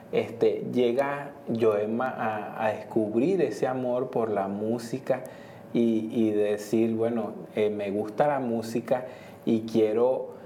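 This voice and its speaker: A man interviewer asks long, halting questions, stopping to search for words. His voice is mellow and mid-pitched, recorded in a roomy space with faint noise.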